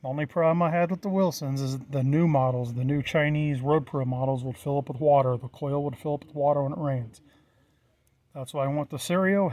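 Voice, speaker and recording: A man's voice: fairly high pitch, a little mellow, dry recording. A middle-aged American man giving a casual, unscripted walkthrough in a plain-spoken, matter-of-fact way. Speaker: hobbyist presenter.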